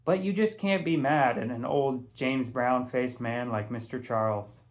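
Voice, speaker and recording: A man reading a first-person story aloud in an expressive, casual, conversational way. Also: medium pitch, a little slow. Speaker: audiobook reader, teacher.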